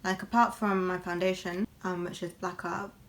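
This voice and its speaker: A woman's voice: lowish voice, steady tone, moderate pace. A young woman, chatting candidly while doing her makeup for a beauty vlog. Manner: chatty and candid, lots of fillers. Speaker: beauty vlogger. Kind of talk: casual get-ready-with-me chat.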